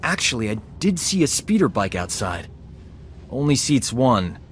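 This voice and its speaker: A man's voice: mid-range voice, very animated, moderate pace, natural voice. An actor playing a wry, easygoing male companion in a game cutscene. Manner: wry, joking. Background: game music.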